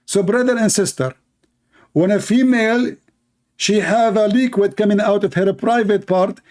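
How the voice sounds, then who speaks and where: A man's voice: high-pitched, moderate pace, darkish tone. A man whose first language is Arabic, hosting a live online debate in English with mocking, sarcastic emphasis. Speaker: debate show host.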